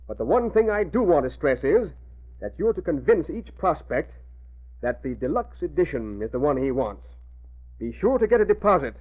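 A man playing a self-important publishing boss in 1940s radio drama, speaking in a measured, formal way. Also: on the high side. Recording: old radio broadcast, narrow phone-like sound.

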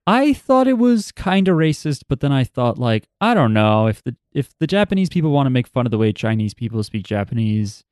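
A man's voice: medium pitch, very animated, brisk, few pauses, darkish tone, clear, resonant tone, dry recording, studio quality. A man co-hosting a casual chat, rambling and thinking aloud with plenty of fillers. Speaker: podcast co-host.

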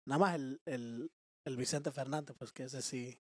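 A man's voice: mid-range voice, moderate pace, darkish tone, no room sound, clean studio sound. A man from Mexico chatting casually on a podcast, laid-back and laughing easily, with hesitant restarts. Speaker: guest. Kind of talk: casual chat.

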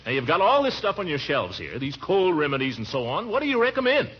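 Blustering, doting middle-aged uncle, 1940s radio comedy. Pompous, exasperated, very animated; muffled old broadcast sound. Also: male voice, a little high, fast talker. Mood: impatient. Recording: muffled vintage radio recording with hiss.

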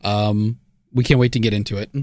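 A man co-hosting a podcast, cracking deadpan jokes in a dry, laid-back way. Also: fairly low pitch, brisk, dry recording. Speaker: podcast co-host. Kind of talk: casual comedic chat.

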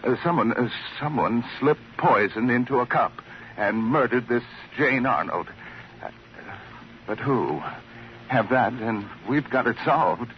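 A man's voice: mid-range voice, expressive, unhurried, natural voice. A self-important, dithering club man as a male actor plays him in a vintage radio mystery. He talks in flustered, pompous repetitions, on a dull recording with faint noise. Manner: flustered, hesitant, repeats himself pompously. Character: fussy, self-important, dithering lodge club entertainment chairman. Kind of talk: 1940s-50s radio comic character acting.

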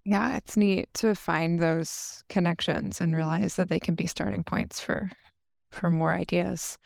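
A woman's voice: lowish voice, steady tone, measured pace, gravelly, a little mellow, dry recording, clean studio sound. A woman hosting a podcast, asking prepared interview questions in a friendly way, opening with an "um". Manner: friendly, scripted questions with "um" openers. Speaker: podcast host.

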